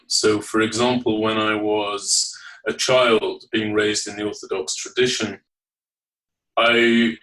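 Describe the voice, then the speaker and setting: A man with a northern English Leeds accent talks in a low, steady, even tone, his answers formal and carefully structured. His voice sits a little away from the microphone in this interview. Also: distant mic, poor audio. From England. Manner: measured, formal, articulate. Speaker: guest. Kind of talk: podcast interview.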